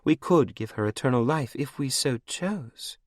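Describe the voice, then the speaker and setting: A man's voice: medium pitch, expressive, measured pace, no room sound, studio quality, voice pushed up. A man voicing a cruel, sweet-voiced vampire queen. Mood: mocking command. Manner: sweet, mocking and commanding.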